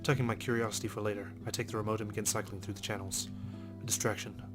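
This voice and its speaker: A man's voice: fairly low pitch, level tone, rapid-fire. A man hosting a let's play, reading a visual novel's first-person narration aloud. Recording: music under the voice. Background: game music.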